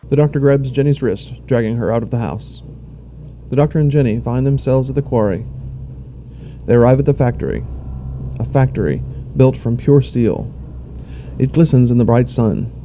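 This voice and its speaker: A man's voice: mid-range voice, moderate pace, long pauses, warm tone, slight background noise. A man reading scripted narration for an audio drama in the role of narrator.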